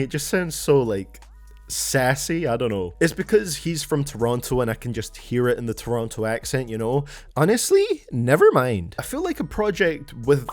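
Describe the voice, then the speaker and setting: A man giving casual album reaction commentary as a reviewer and host, in a blunt, sardonic, mildly profane way. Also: on the high side.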